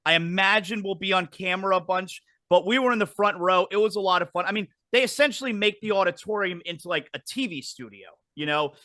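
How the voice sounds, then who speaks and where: A middle-aged man hosts a casual wrestling show. His voice sits high and bright, and he rambles cheerfully, doubling back on his words. The sound is dry, with no room around it. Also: fast talker, few pauses, dry recording. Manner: chatty, repeats words when restarting. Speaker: podcast host.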